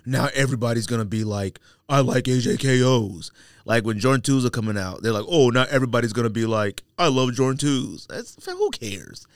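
A man's voice: mid-range voice, no room sound, studio quality. A man hosting a podcast in casual conversation, with blunt, opinionated, slangy talk. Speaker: podcast host. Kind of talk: casual chat about sneakers. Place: studio.

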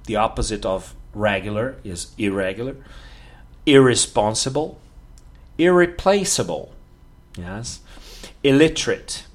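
A man whose first language is Portuguese, teaching English on his own podcast. He takes his time, drawing out each example word and filling pauses with little ums and yeahs. His voice sits at an ordinary middle pitch. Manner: unhurried explaining, stretches example words, many fillers. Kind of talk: solo podcast lesson.

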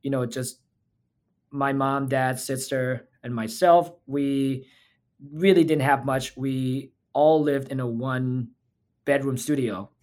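A man's voice: medium pitch, fairly even intonation, slow, darkish tone. A man from Thailand, a physical therapist talking as a podcast guest in an earnest, reflective way. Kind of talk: casual interview talk.

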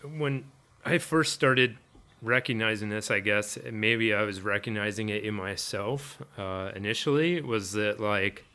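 A man's voice: fairly low pitch, measured pace, pushed and bright, clean tone. A man in a casual interview, a firefighter speaking reflectively, rambling with fillers and restarts. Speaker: firefighter guest.

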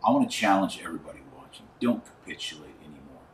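Low-voiced guy doing podcast commentary, emphatic and folksy, echoey room, bit far from the mic. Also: lively intonation, fast talker. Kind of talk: live podcast commentary mixed with reading aloud. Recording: roomy, distant mic, faint background noise.

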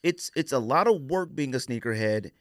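A man's voice: mid-range voice, lively intonation, moderate pace, no echo. A man talks casually as a podcast co-host in a very dry, close recording. His voice sits in the middle of the male range. He rambles candidly and leans on street slang.

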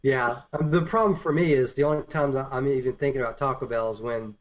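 A man on a casual tech podcast, speaking in a low-key, hesitant way. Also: quick pace. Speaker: podcast co-host. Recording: dull lo-fi sound.

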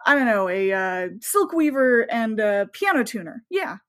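A woman's voice: a little high, sing-song, moderate pace. A woman novelist talking casually as an interview guest, enthusiastic and rambling, often voicing her own thoughts as asides.

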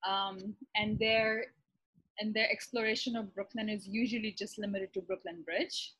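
A woman's voice: mid-range voice, steady tone, moderate pace, fairly bright tone, breathy edge, poor audio. A woman in her thirties from Pakistan with a Pakistani accent, a physician talking casually in an interview, thoughtful, with many fillers and restarts. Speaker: physician, guest.

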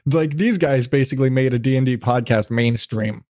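A man's voice: mid-range voice, fairly quick, a little mellow, no echo, clean studio sound. A man hosting a casual podcast interview, talking in a rambling, self-deprecating way with casual swearing. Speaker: podcast host.

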